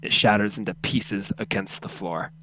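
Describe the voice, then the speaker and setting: A man's voice: fairly low pitch, steady tone, normal speed, sharp tone, a little hiss or hum, low-quality recording. Man narrating a horror story. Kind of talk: dramatic second-person horror story reading.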